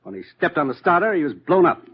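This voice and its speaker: A brisk commissioner in a vintage radio drama. His husky voice moves widely in pitch as he gives orders with dry authority, on a dull old recording. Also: sing-song. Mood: urgent, grave. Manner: crisp, authoritative, dryly teasing. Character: brisk, authoritative male government commissioner, dryly amused boss. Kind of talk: 1950s radio drama acting.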